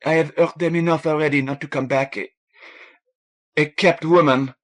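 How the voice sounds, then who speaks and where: A man voicing a timid, distraught French woman who speaks halting, stilted English in a small voice for an audiobook. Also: fairly high pitch, fairly even intonation, voice pushed up, lightly acted. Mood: ashamed, wretched. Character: timid, distraught young Frenchwoman, tearful and devout in scruple.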